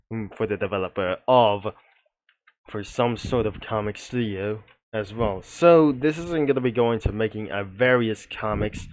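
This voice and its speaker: A man's voice: lowish voice, a little hiss or hum. A man giving unscripted video commentary in a rambling, roundabout way. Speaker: video creator presenting a comic studio.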